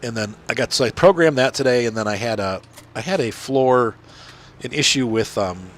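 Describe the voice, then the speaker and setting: A man's voice: mid-range voice, normal speed. A middle-aged man with a cold that makes him sound nasal, chatting casually and rambling as he plays a farming game. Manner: rambling, chatty, many fillers and restarts. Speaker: gaming channel host. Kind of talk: casual commentary while playing.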